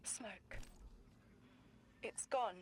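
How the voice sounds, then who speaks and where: An actress playing a cryptic female seer who speaks in slow, trance-like visions. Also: whispered.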